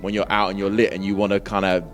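A man's voice: low voice, completely flat delivery, fast, soft background music. A British man chatting casually as an interview guest, with a British accent and a laid-back, slangy manner. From Britain. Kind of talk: casual chat.

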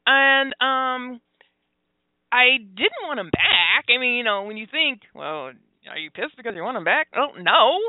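A woman in her forties hosting a phone-in radio talk show, talking openly about her own life with many pauses, fillers and laughs. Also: on the high side, big pitch swings, no echo. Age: 40s. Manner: open and confessional, halting with fillers, laughs often. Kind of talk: candid phone-in radio chat. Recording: dry, tinny phone-like sound.

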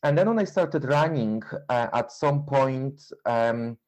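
A middle-aged man from Poland with a Polish accent, speaking reflectively and haltingly in an interview, repeating words as he goes. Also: medium pitch, animated, darkish tone. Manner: halting, repeats words, non-native phrasing. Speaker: interview guest, endurance runner and coach.

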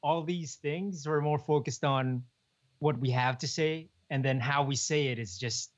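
A young man, co-hosting a podcast in relaxed, chuckling casual chat. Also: medium pitch, unhurried. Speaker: podcast co-host. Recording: dull lo-fi podcast audio.

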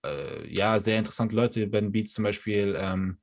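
A man's voice: lowish voice, normal speed. A young man in casual chat, laid-back and slangy, rambling with many fillers. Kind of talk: casual chat about rap albums.

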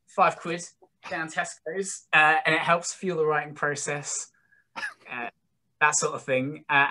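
A man's voice: fairly high pitch, bright, forceful tone, a little hiss or hum. British man, early 20s, musician interviewee. Informal, self-mocking, jokey and meandering. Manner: self-deprecating, rambling, jokey. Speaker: guest, singer-songwriter musician. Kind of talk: casual chat interview.

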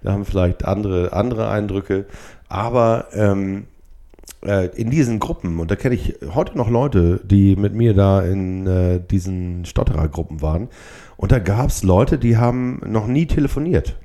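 Deep-voiced man, halting, reflective. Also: warm tone. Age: 50s or older. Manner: halting, with short blocks and pauses from a stutter. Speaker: podcast host. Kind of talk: reflective solo podcast monologue.

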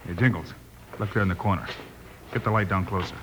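An actor playing a calm, courteous, commanding cowboy lawman hero in an old-time radio western. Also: low-pitched, fast, natural voice. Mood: intent. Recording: very noisy vintage radio recording.

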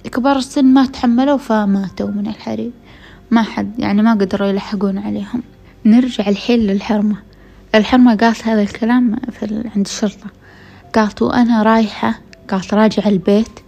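A woman's voice: mid-range voice, fairly even intonation, fast, vocal fry, warm tone. A woman with a Gulf Arabic accent hosts a podcast, casually telling a true story straight to listeners, her voice tired from being unwell. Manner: conversational, addresses listeners directly. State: tired voice from a week of illness. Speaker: podcast host.

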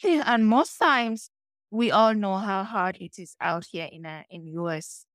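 A middle-aged woman from Cameroon with a Cameroonian accent, talking as an interview guest and telling stories with animated acted-out dialogue. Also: medium pitch, unhurried, no room sound, studio quality. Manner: animated storytelling, acts out quoted dialogue. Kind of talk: conversational interview.

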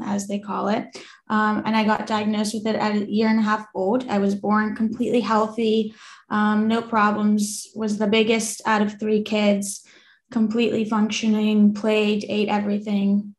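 Twenty-something woman from croatia, pretty flat delivery, matter of fact, roomy echo. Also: mid-range voice. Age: 20s. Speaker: guest. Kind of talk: casual interview talk.

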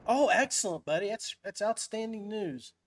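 Podcast host, male, higher-pitched voice, loose and informal live-stream chat. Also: expressive, moderate pace, poor audio. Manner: informal, calls guest buddy and brother.

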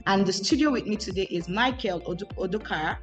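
A woman's voice: mid-range voice, fast talker, faint music behind. A woman hosting an interview podcast, asking questions hesitantly with many "um"s and repeated words. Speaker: podcast host.

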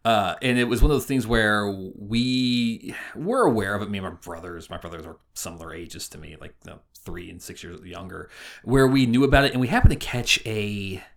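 A man's voice: fairly low pitch, a little fast, creaky. A man in his forties co-hosting a podcast in a casual, chatty way. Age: 40s. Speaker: podcast co-host.

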